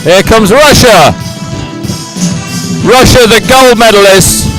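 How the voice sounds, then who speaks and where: A man's voice: sits high, slow. A middle-aged man giving live, ad-libbed sports commentary in an effusive, sentimental way, repeating phrases for emphasis. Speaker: event commentator. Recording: music playing behind the voice. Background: loud stadium music at a medal ceremony.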